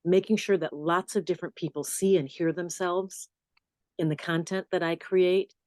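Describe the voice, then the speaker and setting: Low-voiced woman telling stories. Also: normal speed, dry recording, studio quality. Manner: warm storytelling, animated asides. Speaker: journalist and podcast host. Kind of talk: relaxed interview answers.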